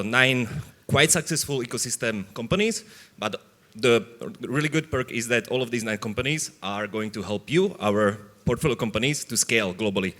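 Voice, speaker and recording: A man from Slovakia with a Slovak accent, giving an informal stage pitch as a venture capital investor. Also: fairly bright tone.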